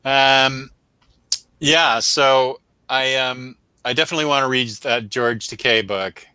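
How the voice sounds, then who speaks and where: A man's voice: medium pitch, fairly even intonation, measured pace, bright, forceful tone, clean tone, no room sound, rough recording. A man hosting a comic book review show in casual livestream chat, rambling along in a self-deprecating way.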